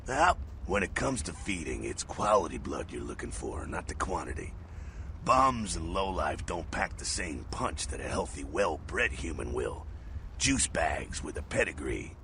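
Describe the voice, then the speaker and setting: An actor playing a gruff, cynical, streetwise vampire mentor in a video game, with a gravelly voice and a blunt, swaggering manner. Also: male voice, a bass voice, very animated, unhurried, pushed and bright, a little hiss or hum, exaggerated voice. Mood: gruff, instructive. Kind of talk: video game character acting.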